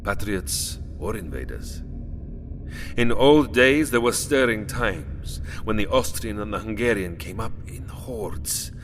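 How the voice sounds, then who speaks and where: Casting: old vampire nobleman, low voice, courtly menace, grandiose and sweeping delivery, gothic audio drama. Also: fairly bright tone, a little hiss or hum. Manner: formal, grandiose, deliberate phrasing. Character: Count Dracula, aristocratic old male vampire, courtly and menacing. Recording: long hall reverb, music underneath. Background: music.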